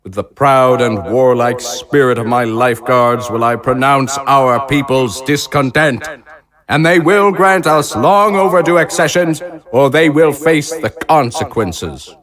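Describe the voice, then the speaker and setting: An actor playing a proud, militaristic consul, delivering theatrical political oratory in a declamatory, stately way. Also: male voice, medium pitch, unhurried, a crowd in the background, close to an everyday voice. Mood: defiant, resolute. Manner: declamatory, rhetorical, stately emphasis. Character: proud, militaristic male consul rallying his troops, grandiose and defiant.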